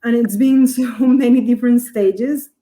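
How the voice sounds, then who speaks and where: A woman's voice: a little high, moderate pace, dark tone. A woman from Colombia, a guest in a casual video-call chat who talks things through thoughtfully.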